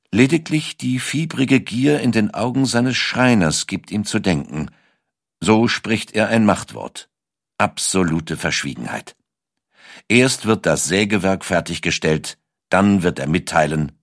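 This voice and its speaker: Male narrator, very low register, close dry recording; measured, dramatic delivery for scripted historical storytelling. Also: gravelly, fairly bright tone, no echo.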